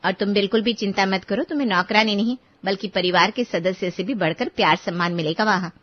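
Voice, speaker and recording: Kindly female household employer acted by a woman, medium pitch, reassuring and polite, cartoon story, dry but slightly dull audio. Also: fairly quick, no room sound, lightly acted. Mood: reassuring, persuasive. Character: kind, appreciative lady of the house, caring employer.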